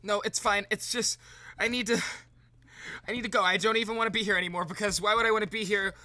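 Petulant, whiny young man, bright voice. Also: high-pitched, fairly even intonation, fast talker, no room sound, recorded from a distance. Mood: whiny, upset. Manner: indignant, stammering, self-pitying. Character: petulant, whiny, easily wounded young male writer. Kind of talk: improvised audio comedy acting.

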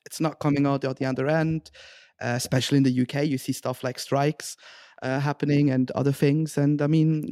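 A man with a somewhat higher-pitched voice chats as a podcast co-host. He is informal and loose, meandering from thought to thought, in a dry recording with little room sound. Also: warm tone, no echo. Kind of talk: casual chat.

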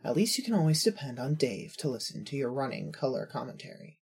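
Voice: mid-range voice, sing-song, fairly quick, vocal fry, a little mellow, slightly rough, heavily acted. A performer narrating an audio drama as a wry, self-aware inner voice in scripted second person.

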